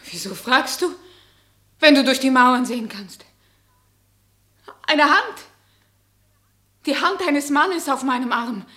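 A woman's voice: sits high, lively intonation, takes it slowly, pauses often, bright, forceful tone, full character voice. A woman acting an anguished, defiant woman in a radio drama, speaking in an intense, declamatory way.

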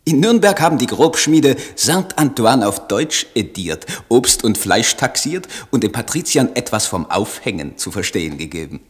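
A man acting a troubled, idealistic German poet in a literary radio play, speaking in a solemn, declamatory, halting manner. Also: medium pitch, big pitch swings, husky. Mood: agitated reporting. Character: troubled idealistic German poet reading his own letters.

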